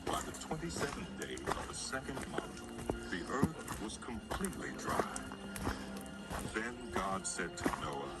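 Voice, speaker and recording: A man's voice: lowish voice, very animated, unhurried. A man narrating scripture as a dramatized story in a solemn, theatrical manner. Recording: voice over prominent music.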